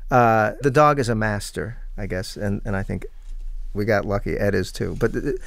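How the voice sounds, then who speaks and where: Low, gravelly-voiced man. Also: moderate pace, dry recording. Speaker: playwright, interview guest. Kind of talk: reflective interview talk.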